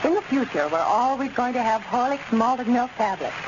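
A woman's voice: on the high side, animated, moderate pace. A woman reading a scripted testimonial in a 1930s radio ad, precise and formal. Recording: muffled, noisy old radio recording.

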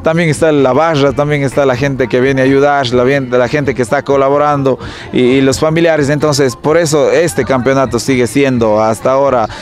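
A man with an Ecuadorian accent giving a spontaneous, halting interview answer as an event official. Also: medium pitch, fairly even intonation. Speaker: tournament official being interviewed.

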